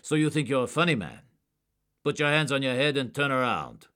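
Brief: male narrator doing a gruff agent barking orders, louder and a bit raised. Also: very deep voice, big pitch swings, moderate pace, exaggerated voice. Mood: commanding. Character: hard-edged male police agent, commanding. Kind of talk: audiobook character voice.

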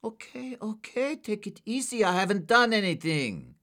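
Brief: man doing a shifty small-time counterfeiter, higher and thinner than the narrator, nervous and sneering. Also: big pitch swings, moderate pace, fairly bright tone. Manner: nervous, evasive, contemptuous. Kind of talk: audiobook character voice.

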